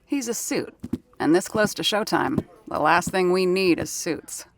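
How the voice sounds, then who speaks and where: A woman's voice: lowish voice, expressive, a little slow, slight background noise. A woman playing a sardonic 1930s radio actor. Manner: wry, sardonic. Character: sharp-tongued woman radio actor, world-weary. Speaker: radio sound effects artist in a 1930s theater. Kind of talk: audio drama with in-character narration.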